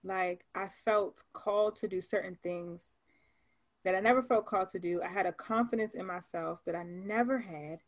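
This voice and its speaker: Animated woman telling her story. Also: medium pitch, moderate pace. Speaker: podcast guest. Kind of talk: casual chat.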